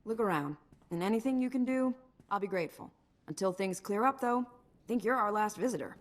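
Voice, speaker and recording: A harried outpost mayor voiced by a woman in a video game. Her voice sits at a middle pitch, and she talks in a blunt, wry, plainspoken way. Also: lively intonation, a little fast, close to an everyday voice.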